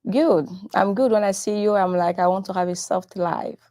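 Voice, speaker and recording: A French-speaking woman hosting a live-streamed interview, talking in a halting, unhurried way and moving between English and French. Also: mid-range voice, mellow. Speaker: host of a live show.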